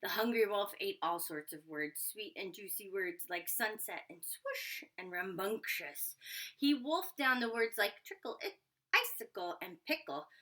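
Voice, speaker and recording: Bright, animated woman reading to children. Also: medium pitch, a little slow. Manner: expressive, engaging listeners with questions. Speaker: storybook reader for young children. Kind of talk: animated children's read-aloud with asides to listeners.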